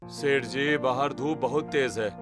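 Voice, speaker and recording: A man's voice: mid-range voice, unhurried, over music, voice pushed down, natural voice. A man voicing a poor, weary village labourer with a pleading, resigned manner in a cartoon story.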